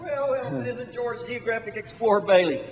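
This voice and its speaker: A man's voice: very high voice, normal speed. Man playing an affable young brother, echoey. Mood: cheerful. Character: affable young brother back home, sheepish and earnest. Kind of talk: stage-style radio drama acting. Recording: hall reverb.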